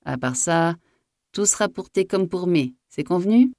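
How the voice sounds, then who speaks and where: Woman voicing a gruff, hot-tempered old farm master. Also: lowish voice, expressive, normal speed, darkish tone, no echo, studio quality, pitched above the performer's own voice. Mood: firm, bossy. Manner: gruff rustic speech, blunt and bossy. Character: old, jovial, hot-tempered male farm master who bellows orders.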